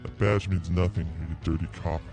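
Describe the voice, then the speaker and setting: A man's voice: sits low, fairly even intonation, moderate pace, over music, lightly acted. A man playing a big, surly, curt cantina bouncer in a fan-made sci-fi audio drama. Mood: hostile. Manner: curt, hostile.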